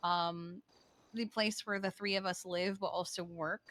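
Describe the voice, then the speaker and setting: A woman improvising in game roleplay as a matter-of-fact, wry character who recounts things haltingly. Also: fairly low pitch, steady tone, fairly bright tone. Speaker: role-player in a live-streamed online game.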